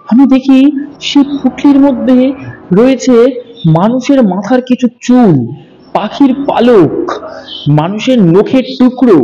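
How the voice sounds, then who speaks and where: Man with a high voice, earnest first-person horror narrator, stops to fix his own slips. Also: mellow, muffled recording. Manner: earnest, with small self-corrections.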